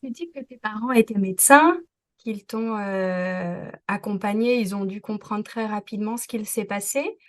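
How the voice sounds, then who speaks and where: A woman podcast host interviewing a guest with gentle, reflective questions. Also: medium pitch, moderate pace. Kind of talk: interviewing conversation.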